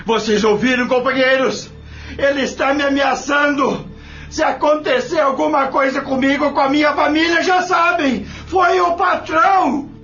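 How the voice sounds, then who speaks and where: A man's voice: extremely high pitch, steady tone, moderate pace, bright, forceful tone, faint music behind, exaggerated voice. A man playing a proud, wronged farm labourer in an audio soap opera, emotional and defiant, with rustic colloquial speech. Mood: furious. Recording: dull, lo-fi audio.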